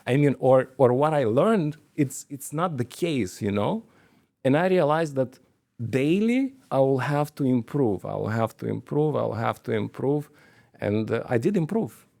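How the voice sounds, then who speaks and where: A man's voice: mid-range voice, expressive, normal speed, vocal fry, warm tone, no echo. A man, a company manager telling stories in an interview, hesitant, with many fillers and restarts. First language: Romanian. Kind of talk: conversational interview storytelling.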